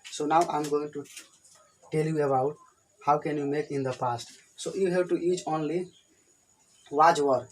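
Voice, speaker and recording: A man's voice: a little high, steady tone, moderate pace, pauses often, a little hiss or hum, low-quality recording. Indian man teaching English grammar. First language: Hindi. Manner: repetitive, plain explanations. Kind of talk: whiteboard grammar lesson.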